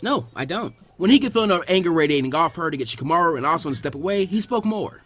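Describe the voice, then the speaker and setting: A male narrator reads scripted fan fiction at a quick clip. His delivery is expressive, with lively swings in pitch. Music plays behind him.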